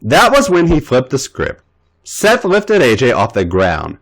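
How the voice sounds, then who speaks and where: A man reading fan fiction aloud as a podcast host, narrating in an expressive way. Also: a little slow, slight background noise. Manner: voices characters' lines with big pitch jumps.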